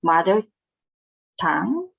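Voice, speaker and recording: Young Filipino woman vlogger, gravelly husky voice, earnest step-by-step delivery. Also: on the low side, big pitch swings, takes it slowly. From Philippines. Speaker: vlogger teaching Japanese test tips. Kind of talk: casual vlog narration.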